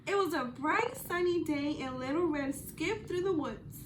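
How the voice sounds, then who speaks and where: A woman student teacher reading a storybook aloud to young children, deliberate and pausing to question her listeners. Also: high voice, expressive, a little hiss or hum.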